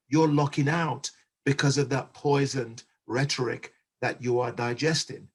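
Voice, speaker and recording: A man on a discussion panel, speaking in an emphatic, measured, oratorical way. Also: mid-range voice, breathy edge.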